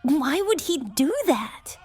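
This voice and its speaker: High, earnest young noblewoman. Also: sing-song, takes it slowly, a little mellow, faint music behind, full character voice. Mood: alarmed. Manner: urgent, questioning, earnest. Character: concerned, determined young noblewoman mage. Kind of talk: audio drama acting.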